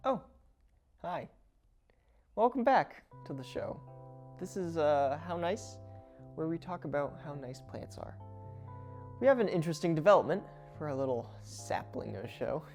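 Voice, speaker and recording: Comedic educational host, female, lowish voice, dramatic and casual, animated swings, music underneath. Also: normal speed, long pauses. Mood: chirpy, conspiratorial. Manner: casual, theatrical, exasperated asides. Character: female plant show host, breezy, rebellious, dramatic. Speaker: show host. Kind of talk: comedic educational show presenting.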